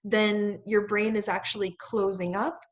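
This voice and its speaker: A woman's voice: mid-range voice, little pitch movement, measured pace. Patient American woman English teacher. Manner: patient, rephrases questions simply. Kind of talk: casual conversation lesson.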